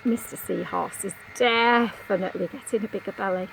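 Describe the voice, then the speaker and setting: A woman reading a picture book to young children in an expressive way, coaxing them with questions and exclamations. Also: medium pitch, normal speed, gravelly, slight background noise, rough recording. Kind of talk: animated storybook read-aloud with asides to children.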